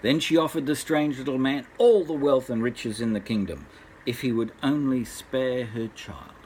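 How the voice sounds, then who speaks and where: A man's voice: medium pitch, moderate pace, darkish tone, slight background noise, poor audio. An older man, a grandfather, reads a bedtime story aloud expressively, addressing small children.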